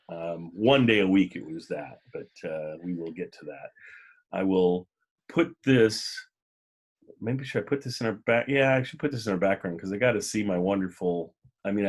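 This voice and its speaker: Guy with a lowish husky voice, rambly jokey podcast host chat.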